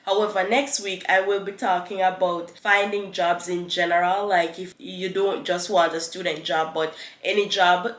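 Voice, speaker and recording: A woman's voice: lowish voice, steady tone, normal speed, hardly any pauses. A young woman with a slightly congested voice, explaining things to camera like a tutorial host. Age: young adult. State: congested from a cold. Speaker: YouTube host giving advice. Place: small living room on a couch, indoors. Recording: roomy, echoing living room.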